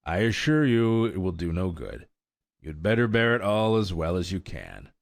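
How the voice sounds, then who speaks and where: A man voicing a sorrowful, resigned old mare in a storybook reading, speaking slowly with sighs. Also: low voice, big pitch swings, creaky, dry recording, studio quality, heavily acted. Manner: slow, sighing, world-weary.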